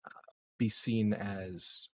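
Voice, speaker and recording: A man playing a measured, calculating and discreet corporate executive in a roleplaying game, speaking formally with long deliberate pauses. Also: on the low side, fairly even intonation. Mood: measured, scheming. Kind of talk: improvised in-character roleplay dialogue.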